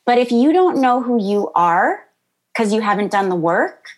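Bubbly high-voiced woman yoga teacher. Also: very animated, moderate pace. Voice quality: high-pitched voice. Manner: bubbly, laughs often, many fillers. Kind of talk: interview answers, casual chat.

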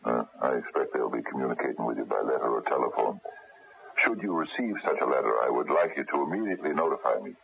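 An actor playing a gruff, methodical private investigator in a radio mystery, gravelly and husky, probing in a businesslike, halting way. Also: male voice, extremely low pitch, faint music behind. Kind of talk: 1970s radio mystery acting. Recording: dull lo-fi radio recording.

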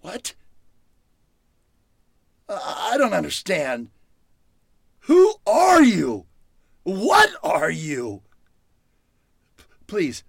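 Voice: medium pitch, huge pitch swings, extremely slow, creaky, pauses often, breathy edge, dry recording, clean studio sound, full character voice. A performer playing a frightened, flustered captive of robots in old-time radio horror style, stammering and pleading. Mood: bewildered, pleading.

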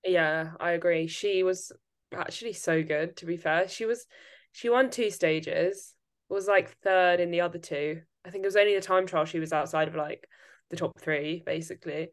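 A woman hosting a casual podcast chat, animated and rambling, with many "like" fillers. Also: on the low side, moderate pace. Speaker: podcast host.

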